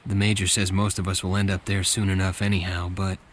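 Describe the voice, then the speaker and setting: An actor playing a young US Marine who narrates in an earnest, measured, sincere way, as scripted war-game narration. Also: male voice, low-pitched, monotone, a little hiss or hum, natural voice. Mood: earnest, reflective. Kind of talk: scripted narration, letter home.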